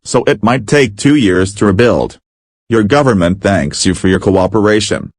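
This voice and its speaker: Husky man reading an emergency alert. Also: on the low side, moderate pace, no room sound, clean studio sound. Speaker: emergency broadcast announcer.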